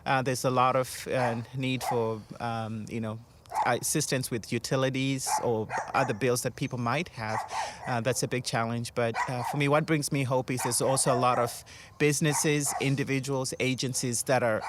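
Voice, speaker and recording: A man's voice: medium pitch, fairly even intonation, moderate pace, few pauses. A man from Zimbabwe, an officer with a charity, answering interview questions in an earnest, reflective way. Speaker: Salvation Army officer. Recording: noisy background.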